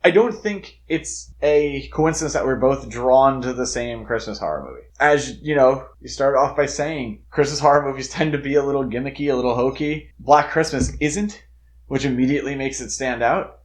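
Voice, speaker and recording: A man's voice: medium pitch, moderate pace. A man co-hosting a podcast, discussing films casually in an emphatic, opinionated way. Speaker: podcast co-host.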